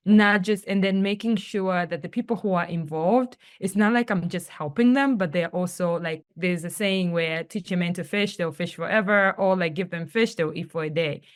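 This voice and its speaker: A young woman from Tanzania with a slightly low voice tells her story as a podcast guest. She talks casually, with many "like"s, and acts out little quotes from friends along the way. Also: moderate pace, few pauses, clear, resonant tone. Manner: chatty storytelling, many fillers and acted-out quotes. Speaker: guest, travel company founder.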